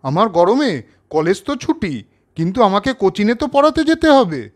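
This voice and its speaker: A man's voice: high voice, very animated, moderate pace. A man voicing a kind, earnest young coaching teacher in a storybook cartoon.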